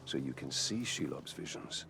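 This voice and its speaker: A man's voice: very deep voice, animated, moderate pace, creaky, noisy background, exaggerated voice. A man playing a grim, determined ranger warrior in a video game, speaking in a terse, grave, purposeful way. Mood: grim, resolute. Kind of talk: solemn video game cutscene acting.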